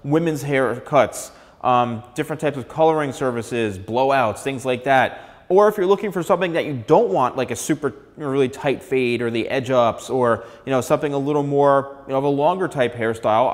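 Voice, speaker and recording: A man's voice: medium pitch, brisk. A man, a hairstylist talking casually to camera, gesturing and saying "all right" often. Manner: conversational, gestures a lot, frequent "all right". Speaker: hairstylist and channel host. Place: large studio room with piano, indoors. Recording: long reverb in a bare room.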